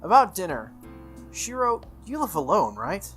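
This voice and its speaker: A man voicing a proud, bossy teenage girl from a visual novel, speaking in a brisk, demanding, slightly haughty way. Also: sits high, wildly swooping pitch, a bit bright, pitched above the performer's own voice, heavily acted. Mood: brisk, decisive. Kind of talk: visual novel character reading. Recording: music playing behind the voice.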